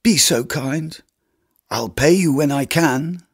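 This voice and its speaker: A man's voice: a little high, slow, breathy edge, voice pushed up, exaggerated voice. A man doing a freezing, begging pauper. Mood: pleading. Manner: pleading, humble, desperate.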